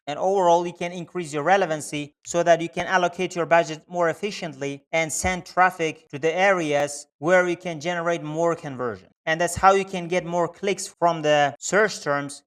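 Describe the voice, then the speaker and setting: A man's voice: a little high, a bit bright, clear, resonant tone. A man who speaks as a narrates a screen-share tutorial as a specialist presenter, explaining methodically step by step.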